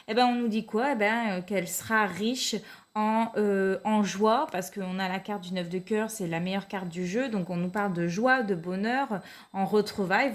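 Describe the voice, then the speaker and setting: A woman with a cold giving a weekly card reading to her viewers, hesitant and full of fillers. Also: mid-range voice. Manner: hesitant, many fillers, repeats "tout simplement". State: has a cold. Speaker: card reader.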